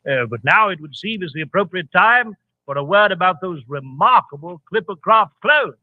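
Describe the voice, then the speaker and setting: A man playing an earnest, genteel gentleman storyteller in 1940s radio drama, formal and dramatic. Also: sits high, expressive, moderate pace, no echo. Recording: dull, lo-fi vintage radio broadcast.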